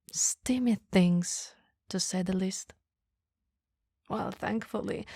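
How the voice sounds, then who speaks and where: A woman playing a coy, flustered female boss in a flirty ASMR roleplay, speaking in soft, breathy whispers with a teasing, hesitant manner. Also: fairly low pitch, no echo, studio quality, heavily acted.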